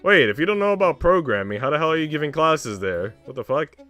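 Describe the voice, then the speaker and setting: A man's voice: fairly high pitch, animated, fast, a bit bright. A man livestreaming, chatting casually with viewers in informal, slangy, swearing speech. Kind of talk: casual chat with stream viewers while reading aloud. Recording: music playing behind the voice. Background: background music.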